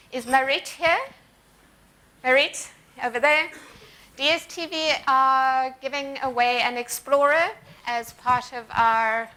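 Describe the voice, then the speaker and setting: A woman organiser speaking on stage at a conference, thanking sponsors and introducing the keynote with frequent ums and pauses. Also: sits high, bright, forceful tone, low-quality recording. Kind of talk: conference welcome address. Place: conference hall.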